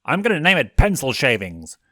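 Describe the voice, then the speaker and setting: A man's voice: medium pitch, wildly swooping pitch, brisk, bright, forceful tone, dry recording, clean studio sound, pitched above the performer's own voice, full character voice. Man voicing a brash, blustering little imp. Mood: brash, teasing. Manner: crude, casual, blustering. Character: small brash male imp, crude, needy, doting father. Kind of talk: fan fiction story reading.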